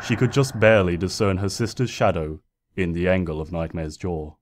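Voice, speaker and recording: Low-voiced man narrating a dark story. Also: fairly even intonation, moderate pace, noisy background. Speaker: fan fiction narrator. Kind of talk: dramatic scripted story narration. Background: ambient sound effects.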